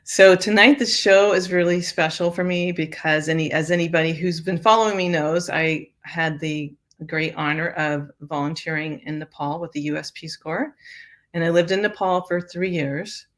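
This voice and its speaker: A woman's voice: sits low, fairly even intonation, normal speed. An older woman hosting an interview show, talking casually with many fillers and restarts. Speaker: host.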